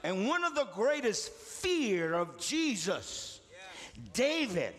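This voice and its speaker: High, shouting preacher in a big hall. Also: male voice, very animated, slow pace. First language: Spanish. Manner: shouting, preaching with call-and-response. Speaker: pastor. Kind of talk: impassioned sermon. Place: large church auditorium. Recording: live church hall reverb with music. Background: congregation and faint music.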